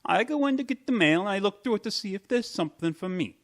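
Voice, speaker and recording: A man's voice: high voice, animated, quick pace. An actor playing a brash, slangy bodyguard and small-time chiseler in a radio mystery, with cocky wise-guy talk. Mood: sly, explaining.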